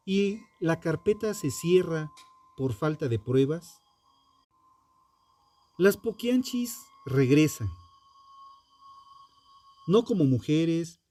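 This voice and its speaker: Male true-crime narrator, solemn and dramatic, slightly high-set but mellow tone, unhurried documentary read. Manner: solemn, dramatic, short measured phrases with pauses.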